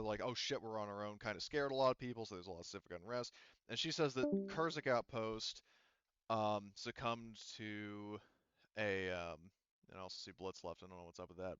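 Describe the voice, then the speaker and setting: A man running a tabletop roleplaying game off the cuff as game master, rambling and halting with frequent restarts and asides. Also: lowish voice, fairly bright tone, clean tone, dry recording, dull audio.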